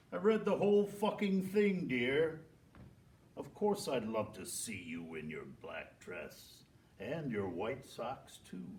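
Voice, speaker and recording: Roomy off-mic pickup. Male stage reader, dramatic and emphatic, with swooping intonation. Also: mid-range voice. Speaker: performer reading letters aloud. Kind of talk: dramatic scripted letter reading. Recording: distant roomy live pickup with hiss.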